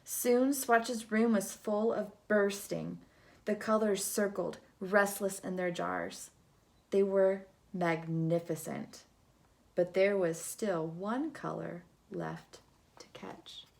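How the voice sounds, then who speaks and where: A woman reading a picture book aloud to children in an expressive, dramatic way, moving between hush and big swells. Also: mid-range voice, slow pace, gravelly. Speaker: storybook reader.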